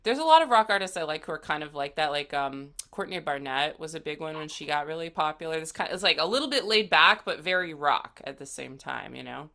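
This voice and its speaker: Young woman musician chatting in an interview, pretty low voice but bright, casual and conversational. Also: animated, fairly quick, gravelly, hardly any pauses. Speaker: musician guest, singer and guitarist.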